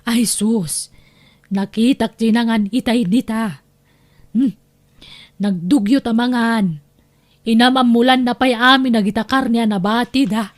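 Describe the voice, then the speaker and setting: A woman's voice: on the high side, measured pace, pauses often, close to an everyday voice. A woman playing a sly, scolding aunt in an audio drama, with an Ilocano accent. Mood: casual.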